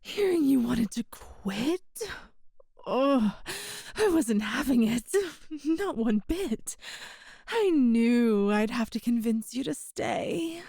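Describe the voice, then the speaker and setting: A woman's voice: a little high, expressive, dark tone, no echo, studio quality, heavily acted. A woman playing a flirtatious, possessive boss smitten with an employee, speaking in a coy, teasing way in an intimate ASMR audio roleplay. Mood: smitten, intense. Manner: slow, coy, teasing, breathy pauses.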